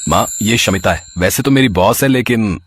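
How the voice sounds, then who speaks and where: A man's voice: on the low side, moderate pace, a bit bright, a little hiss or hum, lightly acted. An actor playing a polite, humble young man in a story, with a deferential, hesitant manner. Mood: gentle. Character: polite, humble, hesitant young male employee and dutiful son.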